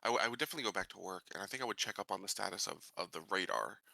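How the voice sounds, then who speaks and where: A man with a very sharp, bright voice on the low side, talking as a player in a tabletop game. He is casual and tentative, thinking aloud, in a dry close sound. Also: very fast, vocal fry, no room sound. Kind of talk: casual tabletop roleplay chat.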